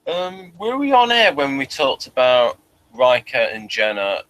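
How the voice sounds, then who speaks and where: A man's voice: mid-range voice, big pitch swings, unhurried, fairly bright tone, poor audio. A man in casual conversation as a review co-host, chipping in with repeated words. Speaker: podcast co-host reviewing a TV series. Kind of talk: casual chat.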